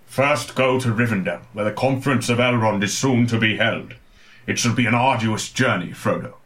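A man's voice: fairly low pitch, normal speed, fairly bright tone, close to an everyday voice. A man playing a kindly, rambling old wizard who speaks in wordy, portentous storytelling for an amateur comic pantomime. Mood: grave.

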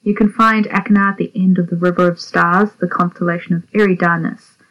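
A woman's voice: fairly low pitch, steady tone, a little fast, a bit bright. A woman from South Africa presenting a guide to the night sky. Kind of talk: astronomy sky guide narration. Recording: dull lo-fi audio.

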